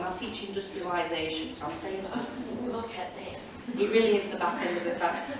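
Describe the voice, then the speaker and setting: A woman teacher giving informal, enthusiastic and rambling reflections to an audience as a panelist. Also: medium pitch. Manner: chatty, enthusiastic, digressive. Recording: echoey hall, distant mic, loud room noise.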